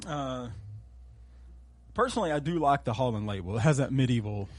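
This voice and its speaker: A man co-hosting a casual podcast, leading the conversation in an easygoing, drawn-out way. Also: medium pitch, dry recording. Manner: relaxed, drawling, leads the segments. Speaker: podcast co-host. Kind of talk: casual chat.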